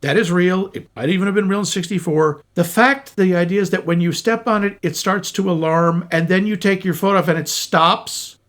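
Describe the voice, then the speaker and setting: A man co-hosting a casual film podcast, joking and self-mocking, quick to laugh. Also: sits high, fairly bright tone. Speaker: podcast co-host. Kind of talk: casual film review chat.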